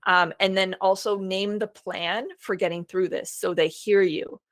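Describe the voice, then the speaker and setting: A woman's voice: medium pitch, steady tone, a little slow. A woman presenting a homeschooling webinar in a reassuring, chatty way, with frequent fillers. She is starting to lose her voice. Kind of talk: informal instructional webinar talk.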